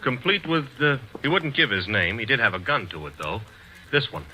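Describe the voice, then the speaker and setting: A cocky, wisecracking young sidekick detective. His lively, swinging delivery comes through a noisy, hissy vintage radio recording. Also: mid-range voice, a little fast. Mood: wry. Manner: breezy, sardonic. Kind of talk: 1940s radio acting.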